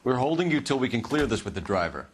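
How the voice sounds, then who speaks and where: Male actor as 1940s homicide detective, stern, clipped formal delivery, lively swinging pitch, accusatory, game cutscene, faint music behind. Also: quick pace, natural voice. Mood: businesslike. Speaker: police detective. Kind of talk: video game cutscene interrogation acting.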